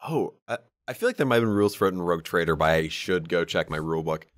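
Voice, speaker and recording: A man's voice: low-pitched, lively intonation, fast, dry recording. A man co-hosting a podcast, chatting informally about tabletop game lore and peppering his talk with "like". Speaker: podcast co-host.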